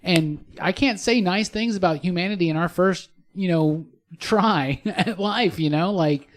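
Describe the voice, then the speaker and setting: A man's voice: sits high, unhurried. A man co-hosting a casual panel discussion in a studio, musing earnestly and repeating phrases for emphasis. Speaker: podcast co-host. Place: podcast studio.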